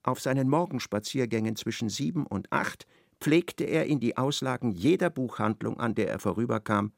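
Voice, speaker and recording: A man narrating a literary radio drama in an expressive, storytelling manner. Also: mid-range voice, moderate pace, vocal fry, dry recording, clean studio sound.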